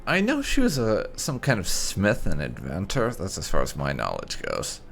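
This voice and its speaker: Slow, creaky man with swooping pitch. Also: huge pitch swings, a bit bright, soft background music. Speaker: gaming video host. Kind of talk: roleplay video game commentary.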